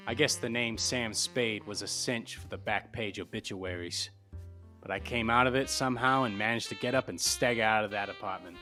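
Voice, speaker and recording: An actor playing a wry, world-weary private detective in a 1940s noir radio drama, speaking in a clipped, laconic way. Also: male voice, lowish voice, moderate pace, sharp tone, soft background music. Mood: wry, hard-boiled narrating. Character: tough, wry hard-boiled private detective.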